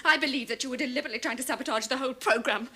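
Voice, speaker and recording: An actress playing a prim, earnest, gullible hospital radio organiser in a sitcom, with a proper manner of speaking. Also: female voice, sits high, rapid-fire, sharp tone. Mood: indignant. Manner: prim, proper, indignant or gushing.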